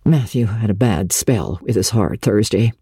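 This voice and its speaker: A woman's voice: very deep voice, animated, normal speed, mellow, breathy edge, no room sound, clean studio sound, voice pushed down, exaggerated voice. A woman voicing a practical, stern older farm woman, plain-spoken and matter-of-fact, in a storybook reading. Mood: concerned, dry.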